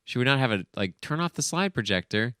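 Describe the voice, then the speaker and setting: A man co-hosting a comedy podcast, chatting casually and reading from a script in a bemused, halting, distractible way. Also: medium pitch, sing-song, bright, forceful tone, clear, resonant tone, no room sound, clean studio sound. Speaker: podcast co-host.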